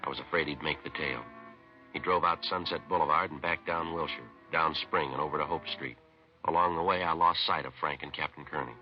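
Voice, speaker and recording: A muffled 1950s radio recording of a hard-boiled American police detective. His voice is extremely deep and he talks fast. He is terse, deadpan and matter-of-fact, wasting no words. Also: faint music behind. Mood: flat, matter-of-fact. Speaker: lead actor and narrator. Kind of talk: 1950s radio police drama acting and narration.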